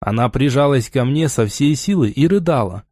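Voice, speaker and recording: A male audiobook narrator with a dark, mellow tone and a middle-range pitch. He reads first-person fiction from a script, recorded close and dry. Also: expressive, normal speed, no room sound.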